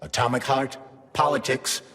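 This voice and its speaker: A man's voice: mid-range voice, fairly even intonation, normal speed. An actor voicing a sardonic male AI companion who lectures in a dry, explanatory way in a game. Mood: weary. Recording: game cutscene with loud music.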